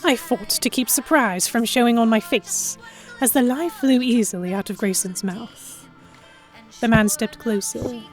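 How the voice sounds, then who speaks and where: A woman's voice: medium pitch, darkish tone, poor audio. A woman reading scripted first-person narration for an audio drama.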